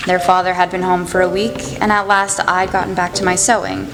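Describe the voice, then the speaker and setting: A woman playing a tender, loving middle-aged mother in a radio play, speaking gently and earnestly. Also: on the low side, flat delivery, brisk, noisy background, lightly acted. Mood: wistful narration. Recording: reverberant hall, music behind.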